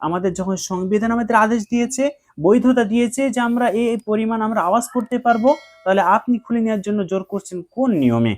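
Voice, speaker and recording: A man's voice: high voice, expressive, fast. A man giving explanatory commentary to viewers, emphatic and repeating his points.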